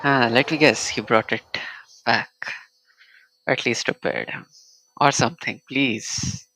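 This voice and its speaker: Female game streamer: very deep, gravelly, casual muttered asides, faint music underneath. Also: unhurried, a bit bright, low-quality recording. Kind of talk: casual live gameplay commentary.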